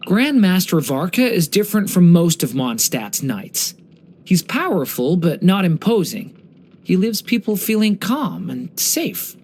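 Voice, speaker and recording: Game role: young dragon boy in human form. Earnest, polite, gentle storyteller, animated intonation. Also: high-pitched, measured pace, exaggerated voice. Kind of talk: English video game character voice lines.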